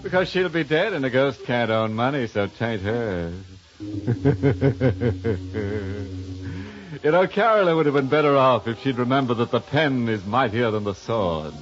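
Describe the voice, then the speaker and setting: An actor playing a ghoulishly jovial horror host, theatrical and sardonic, in 1950s radio style. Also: male voice, medium pitch, animated, unhurried, lightly acted. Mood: gleefully macabre. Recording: dull 1950s radio recording, music behind.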